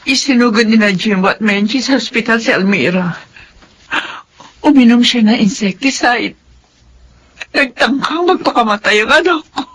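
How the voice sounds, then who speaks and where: A woman's voice: on the high side, normal speed, fairly bright tone, husky. An actress playing an overwhelmed, grieving older mother and grandmother in a melodramatic radio drama, with a tearful, wailing delivery. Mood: distressed.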